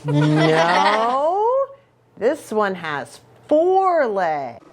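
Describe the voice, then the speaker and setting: A woman's voice: mid-range voice, wildly swooping pitch, very slow, heavy background noise. A woman reading a picture book aloud to children in a playful, exaggerated, sing-song way. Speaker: children's ministry pastor reading a storybook.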